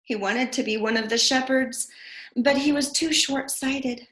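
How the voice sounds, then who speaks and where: A woman reading a picture book aloud to young children with expressive storytelling. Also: sits high, a little slow. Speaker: preschool storyteller. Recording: echoey room.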